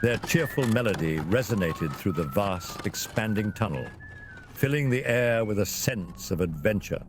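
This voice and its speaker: A man's voice: fairly low pitch, animated, normal speed. A man narrating a scripted fantasy adventure in a dramatic storytelling style. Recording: clear vehicle and ambience noise behind.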